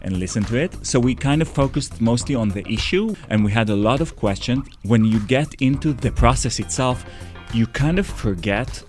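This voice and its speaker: A man's voice: medium pitch, lively intonation, normal speed, hardly any pauses, darkish tone, faint music behind. A man with an Israeli accent co-hosting a podcast in a casual, playful chat with small fillers. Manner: playful, chatty delivery with fillers. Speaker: podcast co-host.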